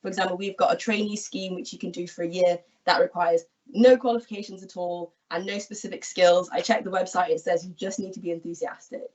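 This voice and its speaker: A woman in her twenties, from England, explaining her job in a friendly, clear way on an online careers talk. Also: fairly low pitch, fairly even intonation, a little fast, few pauses, a little mellow. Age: 20s. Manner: friendly, explanatory, gestures while talking. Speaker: equity research analyst guest. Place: small room at home, indoors. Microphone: laptop webcam video call. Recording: distant, dull video call audio.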